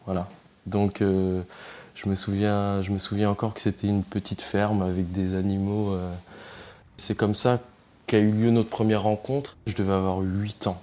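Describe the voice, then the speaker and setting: A man with a fairly low voice reflects on his childhood faith. He speaks plainly, pausing on fillers as he remembers. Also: flat delivery, darkish tone. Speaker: interviewee. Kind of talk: personal testimony.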